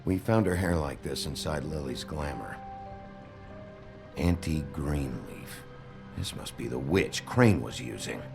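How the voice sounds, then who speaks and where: An actor playing a gruff, weary sheriff detective in a noir video game, with a gravelly voice and a terse, blunt manner. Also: male voice, lively intonation, unhurried, over music, heavily acted. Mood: terse, matter-of-fact. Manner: terse, blunt, low-key. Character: gruff, weary adult male fairy-tale sheriff detective.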